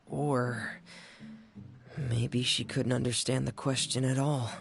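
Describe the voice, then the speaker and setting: Slow, gravelly, reserved boy. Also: medium pitch, little pitch movement, soft background music. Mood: pensive. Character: quiet, reserved, earnest teenage boy. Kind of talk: video game voice acting.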